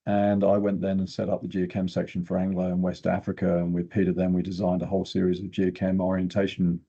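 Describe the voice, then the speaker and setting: A man's voice: low-pitched, completely flat delivery, fast talker, warm tone, husky. An older man giving opinionated, explanatory technical talk as an interview guest. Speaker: guest geochemist. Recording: dull, lo-fi sound.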